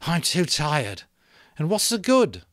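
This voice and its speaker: A man's voice: on the high side, huge pitch swings, slow, a bit bright, no echo, studio quality, voice pushed up, full character voice. A man voicing an exhausted, defeatist young schoolboy in a dramatic audiobook reading, weary and complaining. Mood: weary, fed up.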